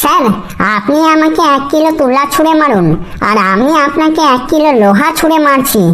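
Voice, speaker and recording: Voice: high-pitched, brisk, a bit bright. A performer voicing a cheeky, wisecracking school pupil in a comedy cartoon, with a cocky, explanatory delivery.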